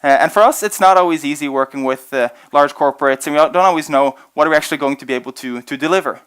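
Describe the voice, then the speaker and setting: A man's voice: fairly high pitch, a bit bright, clear, resonant tone. A young man presenting his organization on stage to an audience, earnest and full of "uh" fillers. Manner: fast, earnest, many "uh" fillers. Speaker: organization representative presenting at event.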